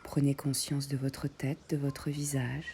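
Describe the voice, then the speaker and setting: Slow, soft woman guiding meditation. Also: very deep voice, level tone, a little hiss or hum. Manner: soft breathy delivery, often near a whisper, short phrases with long pauses. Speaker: yoga nidra meditation guide. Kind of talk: guided meditation.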